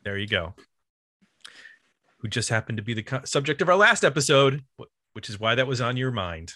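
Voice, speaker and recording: American man, bright voice, dry recording, casual podcast host. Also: medium pitch, animated, fairly quick, no room sound. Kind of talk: casual podcast chat and announcements.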